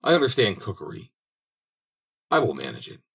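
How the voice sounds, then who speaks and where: A man's voice: on the low side, huge pitch swings, moderate pace, a bit bright, husky, pitched above the performer's own voice, exaggerated voice. A man voicing a sly, greedy soldier who lies boldly, his voice raised and pleading. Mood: wheedling.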